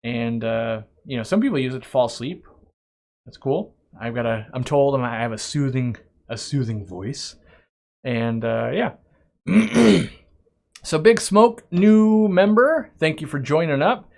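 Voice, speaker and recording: A man's voice: medium pitch, lively intonation, measured pace, creaky, darkish tone. Chatty man rambling through a live stream. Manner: rambling and chatty, with frequent restarts and asides; reads viewer questions aloud, then answers them. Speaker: aquarium shop owner hosting a live stream. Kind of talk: casual live-stream Q&A chat.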